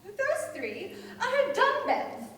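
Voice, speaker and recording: A woman's voice: extremely high pitch, very animated, drawn-out words, pushed and bright, heavily acted. A woman playing a bossy, neurotic, man-scorning older cousin in a staged radio play, with theatrical delivery. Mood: scornful. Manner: theatrical, declamatory. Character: bossy, neurotic, man-hating busybody spinster-like divorcee cousin. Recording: echoey hall, distant mics, faint noise.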